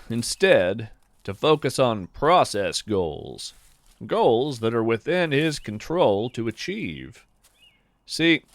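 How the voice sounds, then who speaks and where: Male podcast host, slow deliberate read with a lot of melodic rise and fall, dry close sound, calm and gently philosophical. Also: mid-range voice, no room sound. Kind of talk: scripted reflective narration.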